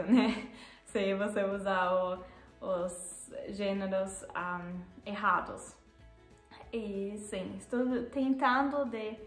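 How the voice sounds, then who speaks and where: A young woman from Germany speaking with a German accent, who often pauses and corrects herself and laughs at her own mistakes. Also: a little high, reverberant. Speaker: vlogger. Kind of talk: casual vlog talk to camera. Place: living room, seated on a sofa.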